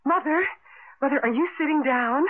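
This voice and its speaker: An actress playing an excitable married woman who longs for home, in a radio drama. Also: high-pitched, normal speed, natural voice. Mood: thrilled, eager. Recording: dull, noisy vintage radio broadcast.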